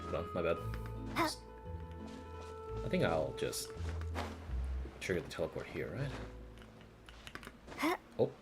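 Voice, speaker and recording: Very low-voiced, animated game streamer. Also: slow pace, pauses often. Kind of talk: casual playthrough commentary and reading dialogue aloud. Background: game music.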